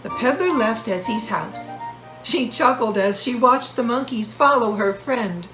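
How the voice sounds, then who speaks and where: A woman author narrating a children's storybook in measured, deliberate phrases. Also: on the high side, lively intonation, a bit bright, faint music behind, rough recording. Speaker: author reading a book.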